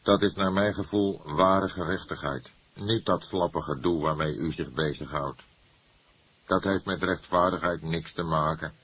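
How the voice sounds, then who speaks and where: A man's voice: sits low, a little slow, fairly bright tone, husky, no room sound, heavily acted. A man voicing an agitated, defiant young murder suspect in an audiobook, speaking emphatically and with emotion. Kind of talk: acted audiobook dialogue.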